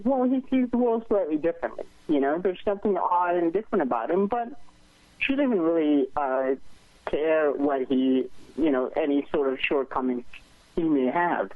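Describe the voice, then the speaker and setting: A man's voice: a little high, dry recording. A man from Sri Lanka, a film director, talking earnestly in a radio interview with many hesitant fillers. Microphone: telephone. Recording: dry tinny phone line.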